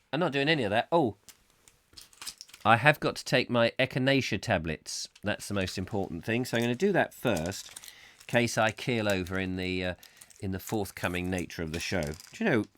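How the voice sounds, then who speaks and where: A slightly hoarse man hosting a comic live chat show, rambling whimsically and mocking himself as he reads out viewer comments. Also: on the low side, a bit bright, a little hiss or hum. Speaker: host.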